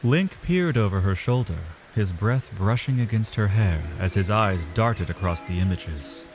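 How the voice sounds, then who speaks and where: Low-voiced man narrating a dramatic tale. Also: animated. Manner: measured, literary storytelling. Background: music.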